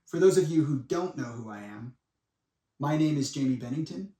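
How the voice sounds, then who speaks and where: Man in his twenties, echoey room, off-mic, deliberate dramatic monologue. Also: on the high side, expressive, dark tone. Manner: dramatic and deliberate, with pauses and profane asides. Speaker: speaker making a personal public statement. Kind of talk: semi-prepared monologue addressed to viewers. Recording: echoey room, distant microphone.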